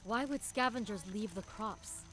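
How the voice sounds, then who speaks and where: An actress playing a tough, determined resistance commander in a strategy game, speaking in terse, commanding lines. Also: female voice, on the high side, moderate pace, music in the background, close to an everyday voice. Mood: focused, wary. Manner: terse, commanding, battle-ready. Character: tough, determined woman resistance commander in a post-apocalyptic war. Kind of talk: strategy game hero dialogue and unit barks.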